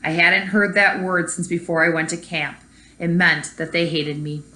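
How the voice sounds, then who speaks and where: Bright-voiced woman teacher reading aloud. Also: on the low side, flat delivery, moderate pace. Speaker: elementary school teacher. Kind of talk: children's read-aloud lesson with explanation.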